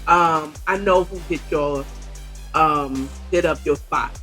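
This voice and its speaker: Woman as a hesitant American street hustler, slow-paced. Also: deep voice, fairly bright tone, faint music behind. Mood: placating, confessing. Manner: slangy, hesitant, placating. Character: deferential male street hustler, confessing to a boss.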